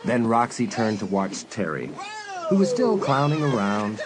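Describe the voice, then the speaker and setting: A man's voice: lowish voice, sing-song, a little slow, over a noisy crowd. A man narrating a suspense story as a dramatic scripted audiobook. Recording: music bed under narration.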